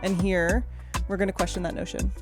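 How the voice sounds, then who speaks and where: A woman co-hosting a podcast, delivering the scripted opening in a lively way. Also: fairly low pitch, brisk. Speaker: podcast co-host. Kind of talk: scripted podcast intro and casual chat. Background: intro music.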